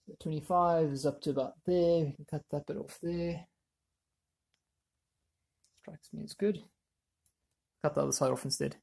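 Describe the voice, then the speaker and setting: A man presenting a hands-on how-to in a casual, offhand way, thinking aloud as he works, with a husky, creaky voice. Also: on the high side, fairly even intonation, normal speed, pauses often, a little mellow. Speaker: DIY video presenter.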